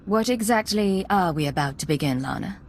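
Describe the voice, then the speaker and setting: A woman playing a cool, steely imperial spy in a video game, deliberate and provocative. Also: medium pitch, lively intonation, a little hiss or hum, close to an everyday voice. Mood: cool resolve.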